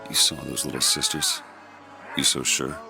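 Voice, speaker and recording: An actor playing a gruff, world-weary, hard-boiled private detective in a noir video game, terse, blunt and cynical. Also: male voice, a bass voice, flat delivery, moderate pace, over music, full character voice. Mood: blunt, grim.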